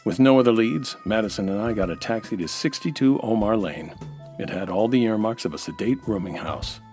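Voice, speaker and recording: A man with an American accent playing a world-weary, hardboiled nightclub owner narrating and talking in deadpan 1940s radio noir style. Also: lowish voice, fairly quick, faint music behind, lightly acted. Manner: dry, wry, deadpan.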